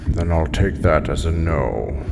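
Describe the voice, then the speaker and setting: Super deep slow crime boss, cold and resigned, big reverb and background noise. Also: male voice, animated, full character voice. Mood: brooding, cold. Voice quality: gravelly. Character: brooding, coldly menacing crime boss and weary father. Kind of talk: audio drama acting. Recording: noisy ambience, long hall reverb.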